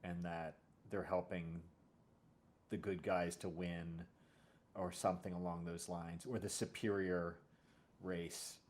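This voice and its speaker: Low-voiced man, halting and thoughtful. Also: little pitch movement, a little mellow. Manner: halting, thinking aloud with self-corrections. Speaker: host and audio drama series creator. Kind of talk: casual interview chat.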